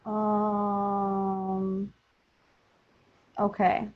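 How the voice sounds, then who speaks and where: A woman's voice: mid-range voice, monotone, very slow, no echo. A woman chatting casually as a podcast host organizing a group. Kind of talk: casual chat. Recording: dull, dry, lo-fi audio.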